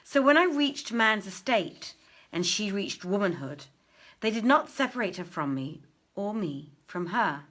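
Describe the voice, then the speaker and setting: A woman reading an old-fashioned tale aloud as a storyteller, expressively, with deliberate phrasing and dramatic pauses. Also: medium pitch, big pitch swings, a bit bright.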